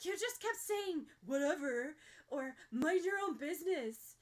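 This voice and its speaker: Woman doing a teen girl for an audiobook, high pitched, insistent, kinda flustered, righteous. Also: expressive, a little slow. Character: earnest, flustered but feisty teenage girl.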